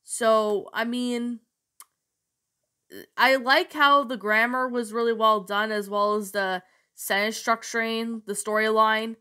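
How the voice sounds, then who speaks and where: A woman's voice: a little high, steady tone, slow pace, pushed and bright, smooth. A woman with a bad cold reading a creepy story, then chatting about it in a rambling way with many fillers. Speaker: creepypasta narrator. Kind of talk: storytelling narration then casual review.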